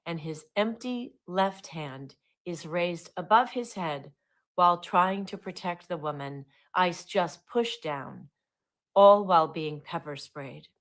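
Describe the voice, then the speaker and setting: A grieving mother reading out a formal statement in a grave, measured way. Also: fairly low pitch, a bit bright. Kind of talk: read statement.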